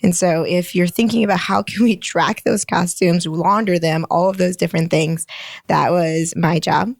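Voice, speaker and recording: A woman's voice: fairly low pitch, fairly even intonation, moderate pace, gravelly, no echo, studio quality. A woman in her late twenties, an engineer and podcast guest, explaining her work patiently in casual conversation and laughing often. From Michigan, United States. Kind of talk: conversational interview.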